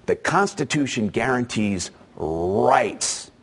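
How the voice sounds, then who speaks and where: A man with a husky voice leads an opinion segment as the show's host. His delivery is emphatic and persuasive, and his pitch rises and falls widely as he speaks straight to the audience. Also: lively intonation, takes it slowly, fairly bright tone. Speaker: show host. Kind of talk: opinion commentary monologue.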